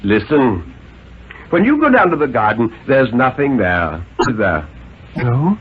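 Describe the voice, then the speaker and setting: A man's voice: medium pitch, sing-song, a little slow, breathy edge, pitched below the performer's own voice. A man playing an overbearing, condescending father who lectures his small son in a pompous, emphatic way, in a 1950s radio reading. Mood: insistent, lecturing. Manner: pompous, insistent, jolly-patronizing, emphatic. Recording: tinny, noisy 1950s radio recording.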